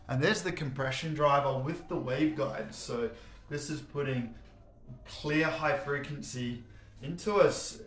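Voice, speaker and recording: A man speaks at an average pitch, presenting a product in an informal, enthusiastic way. He explains things casually, as a showroom host would. The recording is echoey, with the sound of a reverberant indoor room around his voice. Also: fairly bright tone. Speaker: home cinema showroom presenter. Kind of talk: casual product unboxing commentary.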